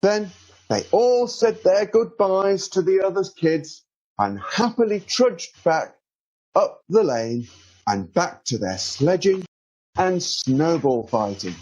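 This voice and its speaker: A man with a high, slightly husky voice reads a children's storybook. His delivery is slow and very lively, stretching words out for effect. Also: muffled recording. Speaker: author reading a children's story. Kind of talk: scripted children's storybook narration.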